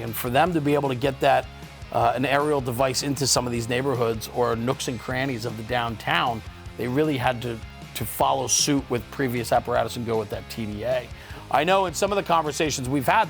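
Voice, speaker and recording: A man's voice: medium pitch, gravelly. A man presenting as a studio host in a conversational, upbeat and emphatic style. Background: light guitar music.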